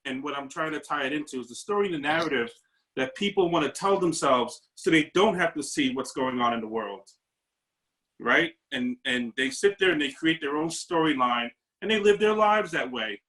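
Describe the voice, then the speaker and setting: A man speaks earnestly on a video call, working his thoughts out aloud with a few restarts. His voice sits a little higher than most men's. He talks as a paramedic in a group discussion. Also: expressive, moderate pace, a bit bright, poor audio. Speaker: paramedic audience member. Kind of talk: reflective discussion comment.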